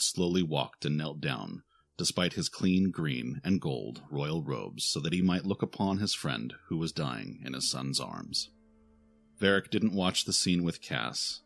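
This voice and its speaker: A man narrating a scripted fantasy audio drama in a solemn, deliberate way. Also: sits low, vocal fry. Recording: faint music behind the voice.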